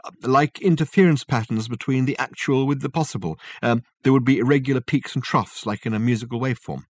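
Man playing a bemused, tentative thinker, raised pitch. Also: fairly quick, no room sound, natural voice. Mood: bemused, musing. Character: hesitant, thoughtful, bemused man.

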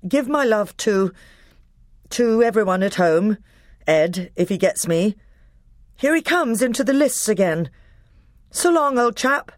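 A woman voicing a brave, commanding young high king in a storybook reading. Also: animated, unhurried, long pauses, no echo, clean studio sound, natural voice. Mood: brave farewell.

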